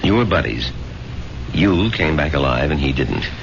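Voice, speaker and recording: Very deep, gravelly learned doctor. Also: male voice, lively intonation, exaggerated voice. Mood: calm, probing. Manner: deliberate, probing, clinical. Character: concerned, reasoning male doctor, calm and learned. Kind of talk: 1970s radio drama acting. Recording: noisy lo-fi vintage radio broadcast.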